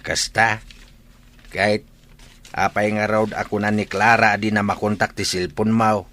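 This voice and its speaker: A man's voice: fairly low pitch, flat delivery, a little slow, fairly bright tone. A man playing a stern older father-in-law who scolds, in an audio drama. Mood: reproachful.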